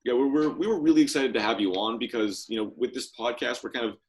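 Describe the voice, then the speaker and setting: A man's voice: mid-range voice, quick pace. A young man co-hosting a podcast, introducing and questioning guests in a casual conversational style. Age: young adult. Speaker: podcast co-host, interviewer.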